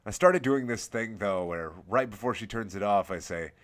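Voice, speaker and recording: A man's voice: on the low side, expressive, normal speed. A man reading a scripted first-person horror story as the host of a scary story series.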